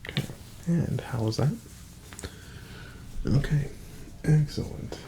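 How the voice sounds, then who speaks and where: A very creaky, soft male voice that often slips toward a whisper, playing a doctor in an ASMR exam. He murmurs gently and reassuringly to the listener in short, unhurried phrases. Loud background noise sits behind him. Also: a little high. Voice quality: soft-spoken, breathy, often dropping to a whisper. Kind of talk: ASMR medical exam roleplay.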